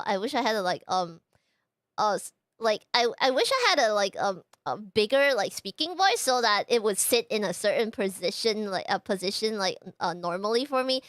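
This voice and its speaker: A young woman chatting casually on a live stream, bubbly and halting, with lots of fillers and restarts. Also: medium pitch, a bit bright, no echo, clean studio sound.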